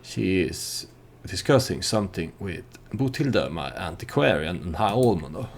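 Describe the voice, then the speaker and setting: A man's voice: sits low, big pitch swings, moderate pace, creaky, slight background noise. A man who is not a native English speaker gives casual gameplay commentary with storytelling, in a hesitant, meandering manner. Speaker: let's play host.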